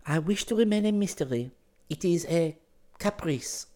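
A young woman of the bohemian set, acted out by a male narrator, speaks in a lifted, high voice. Her phrasing is formal and careful, hesitant and guarded, with very lively rises and falls, as in a period storybook romance. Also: normal speed, lightly acted. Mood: pleading, nervous. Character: young French woman, shy, secretive, feverish bohemian.

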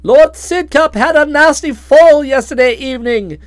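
A woman voicing a bluff, hearty middle-aged English aunt who speaks loudly and bluntly in an audiobook. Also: normal speed, dry recording, lightly acted. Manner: loud, booming and blunt.

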